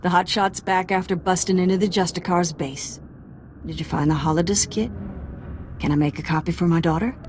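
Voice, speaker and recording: An actress playing a confident, folksy, wry undercity contact in a video game. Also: female voice, lowish voice, steady tone, fairly quick, background noise, lightly acted. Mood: wry, friendly.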